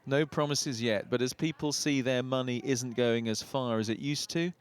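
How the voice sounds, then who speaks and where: A man's voice: medium pitch, steady tone, normal speed, smooth. A man with a British accent delivering scripted narration as a television news reporter.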